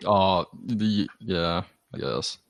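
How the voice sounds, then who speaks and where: Low-voiced, self-deprecating Australian man. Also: expressive, very slow, vocal fry, no echo, studio quality. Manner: hesitant, self-deprecating, sweary. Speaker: band musician, podcast guest. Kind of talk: casual chat.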